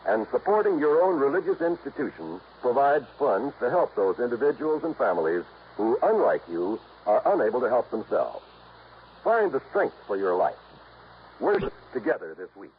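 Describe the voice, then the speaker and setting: An American male radio announcer with a widely varied, animated pitch and a formal, earnest delivery in 1950s style. The recording is thin and phone-like, with slight noise. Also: moderate pace. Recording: tinny, faintly noisy old radio broadcast.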